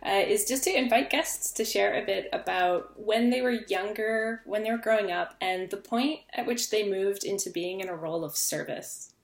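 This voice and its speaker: A woman hosting a podcast, reading a measured intro and then asking a guest questions. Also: mid-range voice, vocal fry. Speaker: podcast host.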